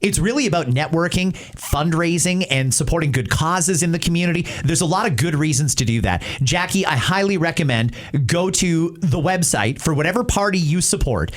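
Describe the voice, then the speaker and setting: A man's voice: fairly high pitch, animated, normal speed. A man with a Canadian accent hosting talk radio, giving emphatic, plain-spoken opinions in a conversational way. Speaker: talk show host. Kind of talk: opinionated talk-radio commentary answering listener messages.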